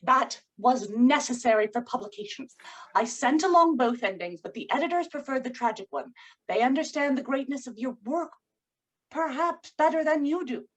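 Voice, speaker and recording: A poised, controlling wife in a literary period drama, acted by a woman. Her voice sits fairly high with a husky edge. She phrases things formally and persuasively, always genteel. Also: expressive, moderate pace, poor audio. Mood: startled, defensive. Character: Juliana, poised, controlling, coaxing wife of a writer. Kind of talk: screenplay table read, period literary drama.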